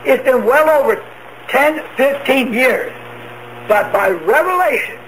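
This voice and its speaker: A man's voice: very high voice, expressive, measured pace, fairly bright tone. A man preaching an end-times radio sermon, emphatic, asking rhetorical questions and answering them himself. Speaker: preacher, self-proclaimed prophet. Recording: noisy radio broadcast.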